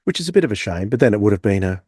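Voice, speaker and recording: Low-voiced male podcaster, dry sound. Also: big pitch swings, rapid-fire, a little mellow, slightly rough, no room sound. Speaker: podcast co-host. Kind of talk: casual review chat.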